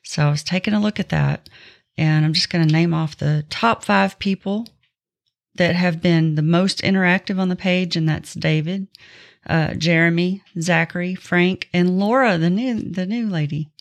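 A woman's voice: fairly low pitch, normal speed, vocal fry. A woman co-hosting a podcast, chatting informally as she shares announcements with listeners. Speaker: podcast co-host. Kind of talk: casual podcast chat with announcements.

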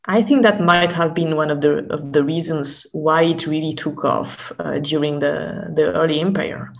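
A woman's voice: sits low, steady tone, moderate pace, gravelly. A woman speaking as an academic guest in an interview, explaining thoughtfully and often stopping to rephrase. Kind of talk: unscripted explanatory interview talk.

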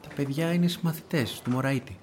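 A man's voice: on the high side, slight background noise, natural voice. Guarded, testy middle-aged editor played by a man. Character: evasive, ironic, irritable middle-aged male newspaper editor. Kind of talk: radio drama dialogue.

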